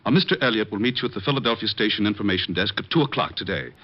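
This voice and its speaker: Guy playing jittery fussy client, lowish voice, talks fast, muffled old radio drama. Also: close to an everyday voice. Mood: nervous, evasive. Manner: fussy, formal, hurried. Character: nervous, prim, evasive male client. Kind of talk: 1940s American radio drama acting. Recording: dull vintage radio recording.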